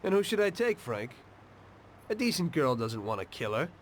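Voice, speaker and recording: A man's voice: fairly high pitch, sing-song, moderate pace, a little hiss or hum. A man playing a weary, troubled gangster in a crime video game. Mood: troubled, doubtful. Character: weary, troubled male gangster, former taxi driver. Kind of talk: confessional narration and dramatic game dialogue.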